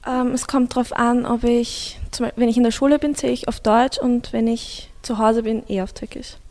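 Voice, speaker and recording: A woman's voice: on the high side, fairly even intonation, brisk, a little mellow. A teenage girl, answering casually and a bit hesitantly on a school radio show. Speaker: student.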